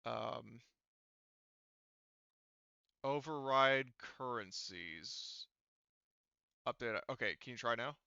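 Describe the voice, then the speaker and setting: A man with a clear, forward voice and some gravel talks informally as a game master. He thinks aloud and swears casually while working through settings. The recording is dry and slightly dull, like band-limited voice chat. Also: mid-range voice, animated, drawn-out words, long pauses, pushed and bright, no echo. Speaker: game master of an online tabletop roleplaying game. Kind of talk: casual group chat while troubleshooting software.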